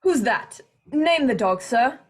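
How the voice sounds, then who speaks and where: Pompous, excitable squire played by a woman, husky edge, old-fashioned emphatic diction, period radio play. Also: a little high, takes it slowly. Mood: excited, commanding. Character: pompous, excitable, hearty English country squire, male.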